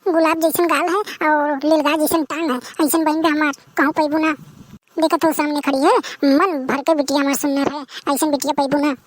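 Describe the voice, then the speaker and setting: A woman's voice: high-pitched, flat delivery, moderate pace, dry recording, lightly acted. A woman with a rural Awadhi accent voicing garrulous, boastful village women in a bantering matchmaking comedy cartoon. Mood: boastful, chatty. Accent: Awadhi, rural northern India.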